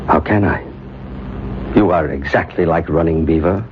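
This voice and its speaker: Very deep, grave old medicine man. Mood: grave, counseling. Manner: solemn, measured, formal. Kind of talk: old-time radio drama acting. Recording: muffled, noisy old radio drama recording.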